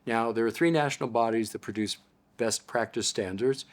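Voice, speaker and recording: A man's voice: medium pitch, moderate pace. Older American man explaining patiently. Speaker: audiologist guest. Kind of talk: explanatory interview talk.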